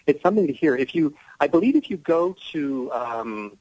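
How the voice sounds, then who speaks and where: A man's voice: on the high side, sing-song, normal speed, a little mellow, poor audio. A man speaking as an actor guest in a conversational radio interview, explaining things earnestly.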